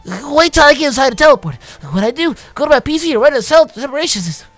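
A quick, high-pitched scientist from a video game, asking for a hand with his experiment, as a gamer reads his lines aloud. The voice rattles along at a fast clip and sounds dry and close, with music playing faintly behind. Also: lively intonation, a bit bright, no room sound. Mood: eager. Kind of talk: reading game dialogue aloud.

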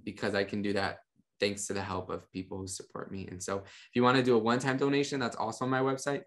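Young man with a low voice. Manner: relaxed, rambling, many fillers. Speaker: podcast guest, minister. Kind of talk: casual interview talk. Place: home room with monitors. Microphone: studio mic on a video call.

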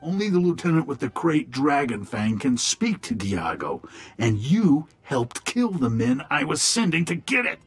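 An actor playing a shady alien gangster lieutenant in a video game, with a husky, gravelly voice. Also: male voice, a little high, huge pitch swings, a little slow, no room sound, soft background music, exaggerated voice. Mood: businesslike, grim. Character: shady alien male gangster lieutenant running a desert club. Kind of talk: video game dialogue acting.

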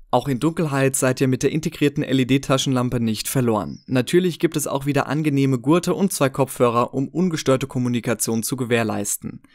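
A man's voice: medium pitch, a little mellow, dry recording, clean studio sound. A man reading a scripted voice-over for a product comparison. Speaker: product review narrator.

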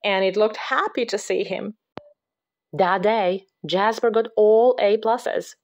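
A woman reading a picture book aloud to children, dramatic and expressive, dropping into hushed whispers at the creepy parts. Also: medium pitch, slow pace, dull audio. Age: adult. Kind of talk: expressive read-aloud storytelling.

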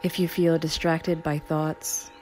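A woman guiding a sleep meditation in a slow, soothing, unhurried way with long pauses. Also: deep voice, little pitch movement, a little mellow, a little hiss or hum, low-quality recording. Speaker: meditation guide. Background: Tibetan singing bowl tones.

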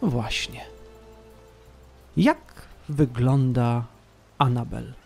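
Male game master, gravelly, dramatic storyteller, faint music bed. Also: mid-range voice, expressive, very slow, a little mellow. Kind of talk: narrating a tabletop game.

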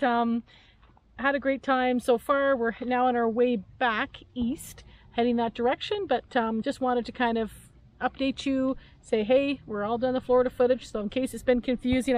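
A woman's voice: fairly high pitch, normal speed, rough recording. Chatty Canadian-born woman outdoors. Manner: chatty, giggly, many fillers. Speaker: vlog co-host. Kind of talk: casual chat. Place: outdoors beside a camper van at dusk.

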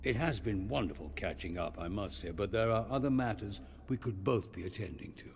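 Urbane older gentleman, lively intonation. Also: fairly low pitch, a little fast, gravelly, slight background noise. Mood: impatient, polite. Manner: refined, dryly polite. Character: urbane, wry older male hotel manager. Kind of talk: video game dramatic dialogue.

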